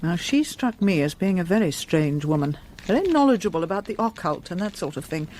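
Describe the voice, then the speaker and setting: An actress playing an inquisitive, opinionated middle-aged friend of a detective in a radio drama, with a brisk, wry English manner and accent. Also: female voice, on the low side, animated, a little mellow, slight background noise, natural voice, poor audio.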